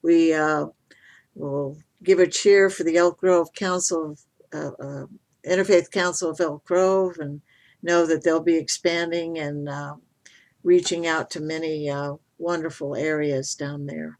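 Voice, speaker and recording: Woman, podcast host, slightly low voice, affirming and appreciative manner, interview style. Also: steady tone, a little slow, darkish tone.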